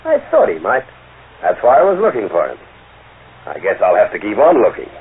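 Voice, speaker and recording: An actor playing a sneering, bullying American gangster in a tough, slangy 1940s radio crime drama style. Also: male voice, fairly low pitch, huge pitch swings, a little fast, husky, full character voice. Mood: menacing, gloating. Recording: tinny, noisy old radio recording.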